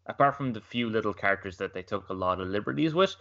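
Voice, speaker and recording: Opinionated low-voiced man on a podcast. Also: expressive, fast, bright, forceful tone, muffled recording. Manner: opinionated, repeats words as he goes. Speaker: podcast co-host. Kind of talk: casual chat.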